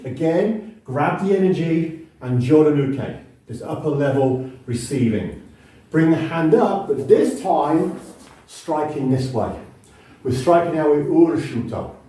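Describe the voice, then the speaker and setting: A man's voice: a little high, distant mic. Man teaching in an echoey hall. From United Kingdom. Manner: calm, explanatory, counts through moves while demonstrating. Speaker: martial arts instructor. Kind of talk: instructional demonstration.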